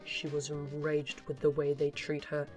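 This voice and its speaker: A narrator reads scripted story prose for an amateur audio drama. The voice is medium in pitch, with quiet music behind it. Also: completely flat delivery, moderate pace.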